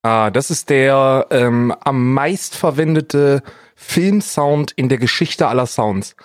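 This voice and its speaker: A man's voice: medium pitch, takes it slowly, no echo, studio quality. A man co-hosting a casual comedy podcast, joking in a sardonic, slangy way. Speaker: podcast co-host.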